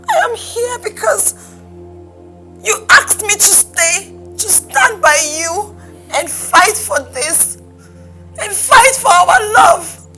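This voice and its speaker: A fiery, wounded Nigerian woman in a melodramatic film. Her voice is very high and swoops widely, loud and confrontational as she repeats herself. She takes her time, with music behind her. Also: exaggerated voice.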